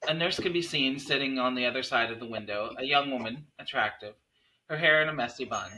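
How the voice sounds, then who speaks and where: A male narrator reads screenplay scene headings and action. His voice is mid-pitched with a bright, forward edge, delivered as scripted narration. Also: a little fast, slight background noise, low-quality recording. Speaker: narrator reading stage directions.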